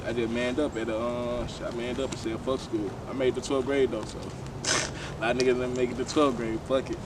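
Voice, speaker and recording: A man's voice: mid-range voice, level tone, a little fast, distant mic, heavy background noise. A man, talking loosely in a casual interview and often restarting his phrases. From Shreveport, Louisiana, USA. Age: 20s. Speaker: guest, tattoo artist.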